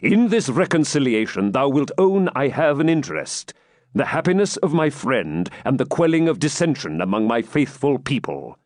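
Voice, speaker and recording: A man voicing a confident medieval king for a storybook, with measured, formal, archaic speech. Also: medium pitch, very animated, darkish tone, dry recording, studio quality, natural voice. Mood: solemn, commanding.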